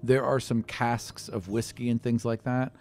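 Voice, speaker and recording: A man's voice: mid-range voice, little pitch movement, measured pace, darkish tone, soft background music. A man narrating a tabletop role-playing game as game master, improvising descriptions in a conversational way.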